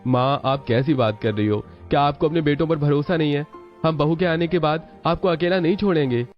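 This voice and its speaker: A dutiful, worried grown son played by a man in a cartoon family drama. His voice is fairly high and earnestly protesting, slightly muffled over soft music. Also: fairly quick, lightly acted. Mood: concerned protest. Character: dutiful, worried adult sons questioning their mother. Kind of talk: animated family drama dialogue.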